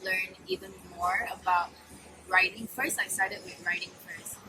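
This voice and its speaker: A woman's voice: medium pitch, steady tone, measured pace, noisy background. A young woman from Malaysia with a Malaysian accent answers interview questions casually, thinking aloud in short, hesitant phrases. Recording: music playing behind the talk.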